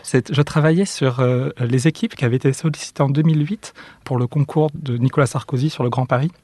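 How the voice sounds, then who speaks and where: Measured man, dry close recording. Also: mid-range voice, steady tone, darkish tone, no room sound. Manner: measured, thoughtful, frequent fillers. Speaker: architect, office co-founder. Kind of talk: interview, recounting studies.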